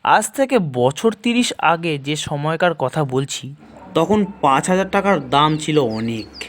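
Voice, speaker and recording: Male horror narrator, unhurried and animated, measured dramatic phrasing, noisy recording with chirps. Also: fairly high pitch. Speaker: narrator of an audio horror story series. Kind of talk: dramatic first-person story narration in Bengali.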